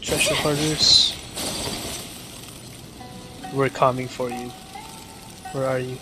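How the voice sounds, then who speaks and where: A man's voice: mid-range voice, flat delivery, slow. A man with a Filipino accent giving casual, chatty gaming commentary as a livestreamer, mixing two languages. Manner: chatty, mixes two languages, greets viewers. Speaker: game livestreamer. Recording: game music behind the voice.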